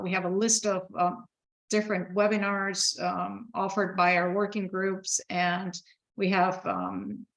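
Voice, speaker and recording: A woman's voice: medium pitch, fairly bright tone, low-quality recording. A middle-aged woman with an Ecuadorian Spanish accent, giving a program presentation over a video call with many fillers and restarts. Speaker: program director. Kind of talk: virtual open house presentation.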